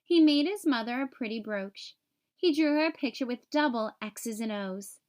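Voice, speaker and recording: A woman reading a picture book aloud to young children, with expressive, simple storytelling. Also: fairly high pitch, normal speed.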